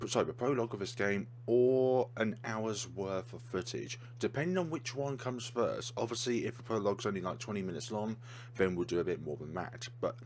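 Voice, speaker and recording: Young man, low voice, excitable, thinking out loud, let's-play commentary. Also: slight background noise. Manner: chatty, thinks aloud, excitable. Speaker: gaming YouTuber.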